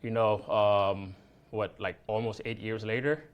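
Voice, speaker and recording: A Haitian-born man speaking earnestly as a guest activist, halting and restarting often. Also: on the low side, fairly even intonation, muffled recording. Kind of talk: casual interview chat.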